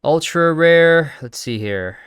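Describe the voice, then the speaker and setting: A man's voice: fairly high pitch, expressive, slow, fairly bright tone, clean tone, dry recording, clean studio sound. Sniffly man rambling through a screen share. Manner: rambling, thinks aloud with many fillers and restarts. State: sniffly, sneezing. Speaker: crypto video host. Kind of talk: casual screen-share commentary.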